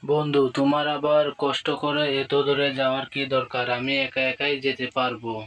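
A courteous male friend paying a visit in a cartoon, voiced by a man. His pitch is medium and barely moves. He talks in a measured, polite way. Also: completely flat delivery, a bit bright, a little hiss or hum, lightly acted, poor audio. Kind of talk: scripted cartoon dialogue.

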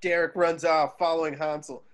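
A man's voice: on the high side, little pitch movement, normal speed. A man narrating stage directions from a script in an amateur table read over a video call.

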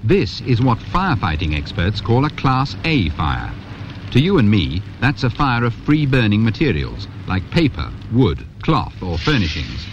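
A British man in his fifties presenting a scripted instructional training film in a measured, instructive manner. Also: lowish voice, huge pitch swings. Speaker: presenter and narrator of a fire safety training film. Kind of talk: scripted instructional narration to viewer. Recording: muffled, noisy 1980s 16mm film soundtrack.

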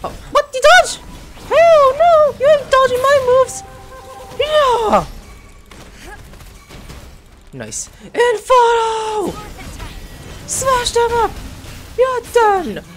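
A young man casually commenting on gameplay, joking and getting loud when excited. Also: extremely high pitch, very slow, pauses often, music in the background. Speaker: gaming streamer.

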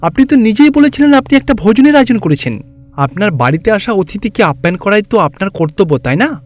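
Great cartoon god, acted by a man: amused, instructive, raised pitch, soft music behind. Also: very animated, quick pace. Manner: teasing, instructive.

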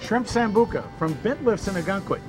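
A man's voice: high-pitched, lively intonation, brisk, over music. A man in his fifties with an upbeat, presenterly manner, hosting a promotional restaurant interview. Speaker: host interviewer. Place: inside a restaurant bar, dining room and kitchen. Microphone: lapel mic. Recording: clearly noisy restaurant background.

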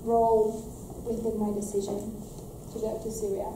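A woman's voice: mid-range voice, little pitch movement, normal speed, dark tone, a little hiss or hum. Young woman, from Romania, speaker at a lecture, earnest, restarts often, far from the mic, very echoey room. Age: young adult. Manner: earnest, with frequent restarts and fillers, gesturing. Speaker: graduate student presenter. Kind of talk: answering audience questions at a talk. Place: lecture room at a podium, indoors. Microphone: room mic, heard from a distance.

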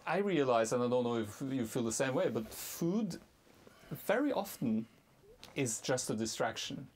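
A man's voice: mid-range voice, expressive, a little mellow. Male host, thirties, playful and teasing, faint room hum, casual interview in a cluttered room. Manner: playful, teasing, halting with fillers. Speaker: talk show host. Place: cluttered storage room indoors.

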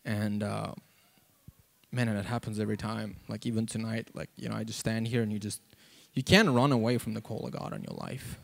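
Gravelly man preaching casually. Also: fairly low pitch, fairly even intonation. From South Africa. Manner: casual, halting, conversational, peppered with "like" and "you know". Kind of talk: informal sermon and personal testimony. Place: church auditorium, on stage before seated congregation. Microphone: handheld microphone.

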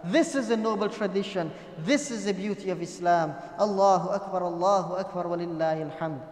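A middle-aged man preaches an Eid sermon in a very high voice, his words carried on a lectern microphone. He speaks with passion, repeats his phrases and climbs to near-shouts. The small mosque hall rings around him with a long, roomy echo. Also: fairly quick. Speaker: imam. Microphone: gooseneck mic on a lectern. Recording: echoey hall, long reverb.

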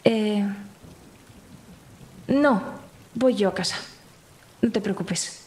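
A woman's voice: mid-range voice, drawn-out words, a little mellow. A woman playing a shaken young woman who hesitates and reassures in a tabletop roleplaying game. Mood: hesitant, reassuring.

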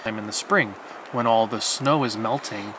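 A man in his thirties explaining foraging outdoors in a wet forest, calm and patient as he teaches. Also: lowish voice, normal speed. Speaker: forager guide. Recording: noisy outdoor forest recording.

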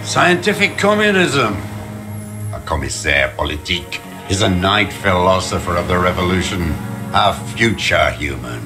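An actor playing a bitter, defiant old communist deserter in a video game, gravelly, slow and contemptuous, speaking like a doctrinaire ideologue. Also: male voice, low-pitched, big pitch swings. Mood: scornful. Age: older. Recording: loud music behind the voice. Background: music.

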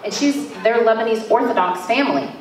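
A woman's voice: a little high, fairly quick, a little hiss or hum. A young woman speaking earnestly into a handheld mic at a panel discussion, pleading with her audience. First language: Arabic or Turkish. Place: large church hall. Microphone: handheld mic at a podium. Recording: echoey hall from far off.

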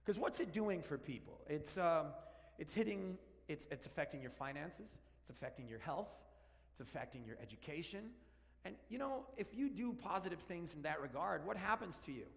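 A man in his forties from Argentina, a native Spanish speaker, preaching an animated, joking sermon while walking around the stage. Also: on the high side, moderate pace, creaky. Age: 40s. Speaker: pastor. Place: large church sanctuary. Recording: long church hall reverb.

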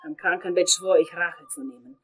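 Unhinged vengeful killer woman in a crime radio play, husky voice, bit of music behind. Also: on the low side, normal speed. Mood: bitter, wounded. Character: vengeful, unhinged female dental assistant turned killer. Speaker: voice actor. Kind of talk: German crime radio drama acting.

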